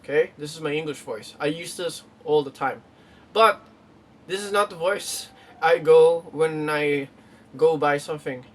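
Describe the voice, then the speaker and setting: A man's voice: fairly high pitch, unhurried. Chatty young Filipino man, distant mic. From Philippines. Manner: rambling, chatty, many fillers and restarts. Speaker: YouTuber. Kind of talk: casual vlog talk to camera. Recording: distant mic with faint room noise.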